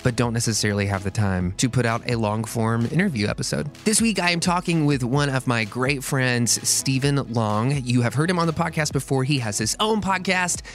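A young man hosts a casual podcast, talking in an upbeat, chatty way and laughing easily. His voice sits at a middle pitch. Faint music plays behind him. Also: a little fast, few pauses. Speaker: podcast host.

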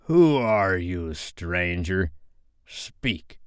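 A man voicing a proud, villainous pirate captain in a storybook, with grandiose, theatrical, drawn-out delivery. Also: mid-range voice, big pitch swings, clean tone, dry recording, clean studio sound. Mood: shaken. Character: proud male pirate captain, blustering villain turning shaken.

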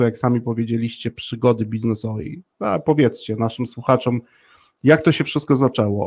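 Gravelly-voiced man hosting a chatty interview. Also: mid-range voice, darkish tone. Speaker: podcast host. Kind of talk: casual conversational interviewing.

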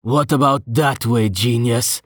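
A man's voice: medium pitch, fairly even intonation, measured pace, dry recording, studio quality, lightly acted. A man voicing a sardonic, teasing adventurer. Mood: mocking. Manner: sarcastic, teasing. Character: sardonic, grinning male adventurer.

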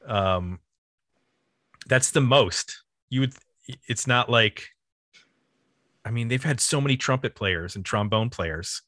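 Man hosting, bright dry voice. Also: mid-range voice, no room sound. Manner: halting, conversational, thinks aloud. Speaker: podcast host. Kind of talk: casual music album discussion.

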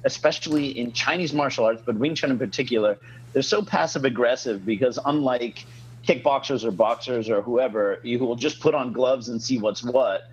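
A man's voice: mid-range voice, normal speed, lo-fi sound, slight background noise. A man hosting a casual podcast, a martial arts instructor, ranting frankly with many fillers.

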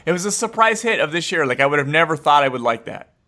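A man's voice: a little high, big pitch swings, fast talker, sharp tone. A man in his thirties chatting casually over a video call, swearing freely and restarting his sentences. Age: 30s. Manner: profane, rambling, restarts a lot. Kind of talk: casual chat.

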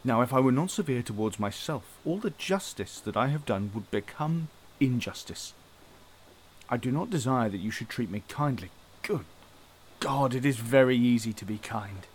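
A man's voice: medium pitch, expressive, moderate pace, close to an everyday voice, rough recording. Severe rigid police inspector, played by a man, formal declamation, mid voice, faint hiss behind. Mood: resolute. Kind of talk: radio drama acting.

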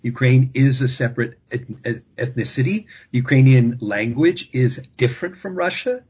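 A man speaking as an expert guest on a panel discussion, measured and thinking aloud, often restarting his sentences. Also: mid-range voice, darkish tone, breathy edge, lo-fi sound.

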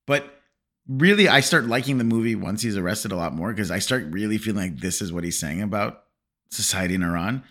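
A man's voice: lowish voice, lively intonation, brisk, a bit bright. A man co-hosting a casual film podcast, rambling with many fillers. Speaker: podcast co-host.

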